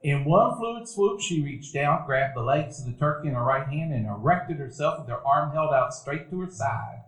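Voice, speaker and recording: A man narrating a stage radio play as a grown man recalling his boyhood, in a measured, reflective telling. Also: a little high, animated, close to an everyday voice. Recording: roomy event hall.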